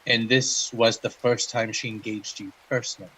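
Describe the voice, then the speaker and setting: An actor playing a measured interviewer in an audio drama, questioning a dreamer in a formal, precise and methodical way. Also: male voice, mid-range voice, steady tone, bright, forceful tone, breathy edge, rough recording. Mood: attentive, measured. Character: measured, probing male interviewer examining a dreamer.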